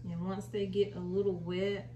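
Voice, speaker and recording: A woman's voice: medium pitch, level tone, moderate pace, dark tone, muffled recording. An American woman talks through a homely how-to in a casual, folksy way. She is recorded indoors some distance from the microphone, with noticeable room echo and a little background noise. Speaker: home gardening video host. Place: small indoor room at home. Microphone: camera a short distance away. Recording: roomy, distant mic, faint noise.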